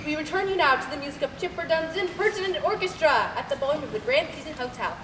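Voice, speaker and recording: A woman's voice: high-pitched, lively intonation, quick pace. A young woman playing a flustered, pompous radio announcer in a comic old-time radio play. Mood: formal, flustered. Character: flustered, apologetic radio announcer and bossy hotel manager. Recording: echoey hall, far mic, clear noise.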